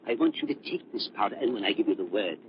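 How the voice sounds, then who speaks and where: An actor playing an embittered, paranoid older scientist who feigns kindness, in theatrical 1930s radio melodrama style. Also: male voice, fairly low pitch, expressive, quick pace, close to an everyday voice. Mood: sly, eager. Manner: theatrical, volatile, swinging from soothing to ranting. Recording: muffled, lightly noisy 1930s radio recording.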